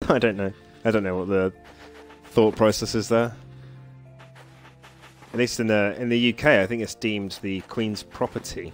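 Male streamer, lower voice, laid-back musing commentary with light chuckles, faint game music underneath. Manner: relaxed, musing aloud, chuckles often. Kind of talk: casual gameplay commentary, reading game text aloud. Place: home, at the computer.

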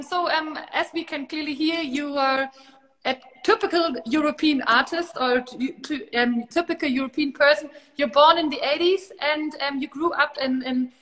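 A woman's voice: sits high, a bit bright, long reverb tail, poor audio. A woman in her thirties with a German accent, hosting a livestream interview as curator, friendly with many ums. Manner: friendly, filler-heavy introductions. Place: large open gallery space, indoors.

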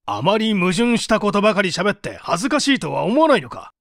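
A man's voice: high voice, sing-song, fast, gravelly, fairly bright tone, no echo, studio quality, heavily acted. A man playing a stern, relentless prosecutor with an authoritative, pressing manner in a dramatised mystery reading. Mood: angry, accusing. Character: stern male prosecutor, relentless, accusing interrogator. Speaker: audiobook reader.

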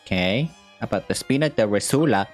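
Low-voiced young man streaming a game. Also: lively intonation, brisk. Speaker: gaming streamer. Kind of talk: casual game playthrough, reading on-screen text aloud. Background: faint game music.

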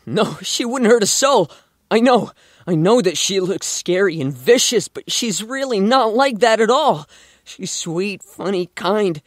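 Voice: mid-range voice, lively intonation, unhurried, dry recording, studio quality. An actor playing an earnest, kind and protective young man in an audio drama, speaking with emphasis. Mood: earnest, worried.

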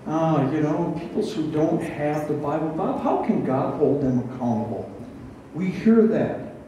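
An older man in his sixties teaches scripture from the front of a very echoey room, sounding a little distant. His voice sits high for a man and is soft-edged and mellow. He explains deliberately, posing questions as he goes. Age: 60s. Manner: deliberate, didactic, with rhetorical questions. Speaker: pastor teaching a Bible study. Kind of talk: expository sermon, reading and explaining scripture. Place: barn hall with a stage, indoors. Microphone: headset. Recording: roomy, echoing, distant sound with faint noise.

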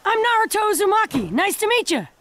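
A woman voicing a brash, eager, hot-headed teenage boy in an anime game dub, loud and blunt with some rasp. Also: extremely high pitch, sing-song, fast, no room sound, full character voice. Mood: cheerful, eager.

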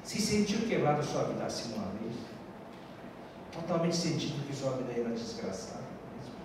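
A middle-aged man, a pastor giving an earnest sermon testimony into a handheld mic on a church stage, with halting phrasing and frequent restarts. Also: mid-range voice, sing-song. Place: church stage with large hall. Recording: echoey hall from far off with background noise.

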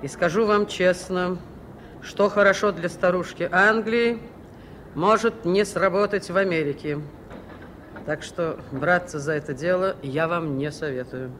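An actress playing a brisk, sardonic, superstitious woman in a radio play, curt, dry and self-assured. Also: lowish voice, a bit bright. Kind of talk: Soviet radio play acting. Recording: noisy 1980s radio recording.

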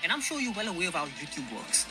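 A man's voice: sits high, big pitch swings, fast talker, over music. A man delivering a scripted, profane callout of a rival creator, scornful and mocking. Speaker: YouTuber calling out a rival.

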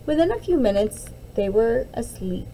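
A woman reading a picture book aloud as a teacher, in an expressive storytelling style. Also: a little high, normal speed, a little hiss or hum.

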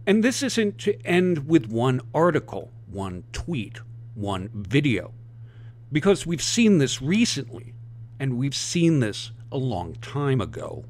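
Man, American accent, impassioned, emphatic, scripted commentary, animated pitch. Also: slow pace. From United States. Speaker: online commentator.

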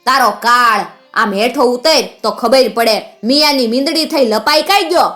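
Fierce, fearless village widow, played by a female storyteller: Kathiawadi accent, high-flung scolding and taunts, folk-tale drama. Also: moderate pace, pushed and bright, faint music behind. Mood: scornful fury. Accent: Kathiawadi Gujarati. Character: fearless, furious village widow defying an outlaw.

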